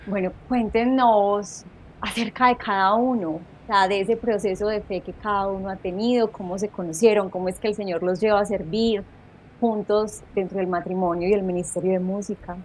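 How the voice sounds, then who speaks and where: A woman's voice: mid-range voice, steady tone, moderate pace, a little hiss or hum, low-quality recording. Woman hosting a faith interview show. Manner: warm devout greetings, loose self-correcting phrasing. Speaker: host. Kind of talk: welcoming interview on a faith program.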